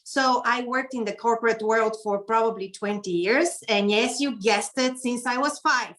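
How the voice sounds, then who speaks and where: Middle aged bulgarian lady, kinda high voice, introducing herself informally on a video call, bit hesitant. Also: moderate pace, fairly bright tone. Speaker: guest keynote speaker and etiquette consultant. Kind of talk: informal self-introduction speech.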